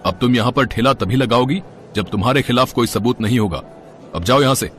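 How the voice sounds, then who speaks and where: A man's voice: mid-range voice, brisk, slight background noise, close to an everyday voice. A man playing a stern, bullying food inspector in a moral story, officious and commanding. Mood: harsh, commanding. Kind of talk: storybook character acting.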